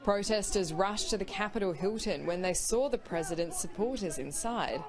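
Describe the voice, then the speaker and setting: A woman reporter delivering broadcast news narration in a measured, formal way. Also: mid-range voice, animated.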